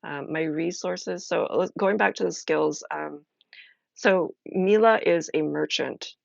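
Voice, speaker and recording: A woman streamer chatting casually as she explains a solo role-playing game, halting and thinking aloud. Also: low-pitched, creaky, no room sound, muffled recording.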